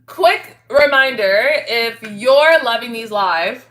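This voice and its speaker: A woman hosting a casual livestream, chatting to her viewers in an animated, giggly, slangy way. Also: fairly high pitch, slow, a little hiss or hum, rough recording. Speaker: livestream host reading and answering viewer chat.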